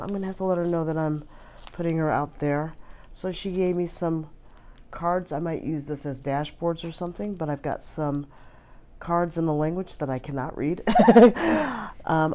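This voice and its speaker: A hoarse woman chatting casually through an unboxing, with many filler words. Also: low voice, mellow, a little hiss or hum. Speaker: vlogger.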